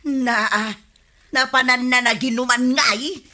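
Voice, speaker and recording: A woman's voice: sits high, fairly even intonation, slow, sharp tone, full character voice. A woman playing an irritable, complaining village wife in a comedy radio drama, with an Ilocano accent. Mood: suspicious.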